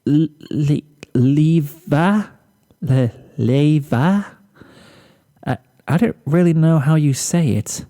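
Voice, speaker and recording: A fragile, naive young female pegasus in a storybook reading , as a man puts her on. The voice is pushed up high, meek and timid, stumbling over its words in stammers. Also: slow, dark tone. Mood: tearful, confused.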